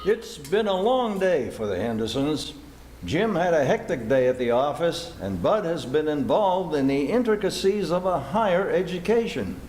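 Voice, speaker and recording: A man's voice: on the high side, big pitch swings, normal speed, a little mellow, faint music behind, poor audio. A man reading scripted narration as the announcer of an amateur radio play. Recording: faint background noise.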